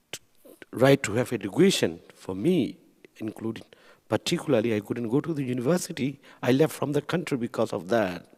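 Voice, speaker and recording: A man from Burma, speaking earnestly on a panel and repeating phrases for emphasis. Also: mid-range voice. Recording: reverberant hall.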